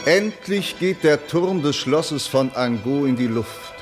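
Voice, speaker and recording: Radio drama narrator: grave, unhurried, mid-pitched, delivering surreal imagery over clear music. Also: male voice, lively intonation. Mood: solemn. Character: solemn male narrator of surreal violent visions. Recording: music under the voice.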